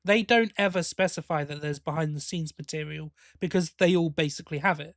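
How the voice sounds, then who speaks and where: A man's voice: on the high side, brisk, dry recording, studio quality. A man co-hosting a podcast, chatting casually about audio dramas, with hesitant starts and repeated words. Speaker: podcast co-host.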